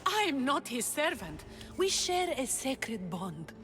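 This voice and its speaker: An actress playing a young, fiercely loyal priest's companion in a video game, defensive and impassioned. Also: female voice, high voice, very animated, slow, fairly bright tone, faint music behind, exaggerated voice. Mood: indignant.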